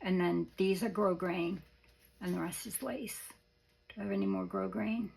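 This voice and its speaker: A hoarse woman showing off craft supplies in a casual show-and-tell, gushing softly and often dropping to a whisper. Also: medium pitch, fairly even intonation, normal speed, low-quality recording. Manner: soft-spoken, gushing, often drops to a whisper. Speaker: craft vlogger.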